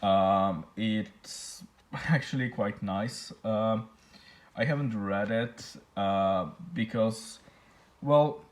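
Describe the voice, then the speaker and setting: Slow, hesitant man. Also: fairly low pitch. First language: Polish. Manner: hesitant, many fillers and restarts. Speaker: book collector vlogger. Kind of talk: casual show-and-tell.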